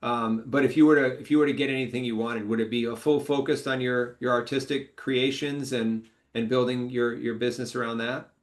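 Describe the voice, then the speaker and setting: A man hosting a podcast, asking casual interview questions in a halting way with many repeated words and fillers. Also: medium pitch, steady tone. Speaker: podcast host.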